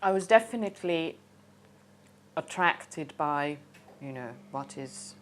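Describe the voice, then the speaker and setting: A middle-aged woman with a Hungarian accent tells her own story casually and haltingly, thinking aloud as a documentary interviewee. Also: sits low, expressive. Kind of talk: casual personal storytelling to camera.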